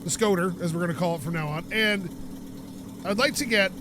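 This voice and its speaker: A man's voice: high-pitched, fairly quick, noisy background. A man from North America hosting a let's-play video, chatting and joking casually while he plays, and he has the sniffles. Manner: chatty, jokey, rambling asides. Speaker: gaming video host.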